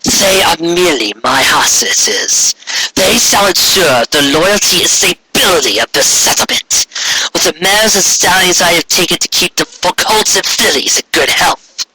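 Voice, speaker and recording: A man's voice: sits high, lively intonation, measured pace, bright, forceful tone, a little hiss or hum, pitched above the performer's own voice, exaggerated voice, low-quality recording. A man voicing a grandiose, menacing female alicorn tyrant who proclaims in archaic, theatrical royal speech for a story. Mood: smug, commanding.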